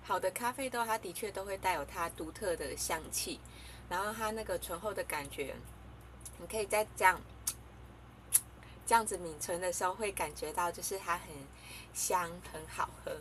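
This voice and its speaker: A woman's voice: lowish voice, normal speed, fairly bright tone, slight background noise. Chatty young woman tasting coffee. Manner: chatty, hesitant, with many fillers and giggles. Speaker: vlogger reviewing coffee. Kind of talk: casual chat to camera. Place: small room indoors.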